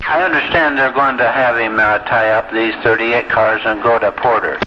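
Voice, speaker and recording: A man working as a railroad tower operator, speaking over two-way radio in dispatch talk, with hesitant phrasing and frequent pauses. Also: medium pitch, fairly even intonation. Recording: noisy lo-fi scanner recording of radio traffic. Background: radio static and noise.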